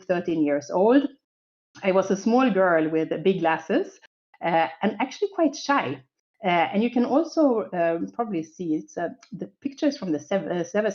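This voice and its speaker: A woman's voice: fairly low pitch, darkish tone, muffled recording. A woman in her forties from Sweden, speaking English with a Swedish accent, giving an upbeat, optimistic conference talk full of small fillers.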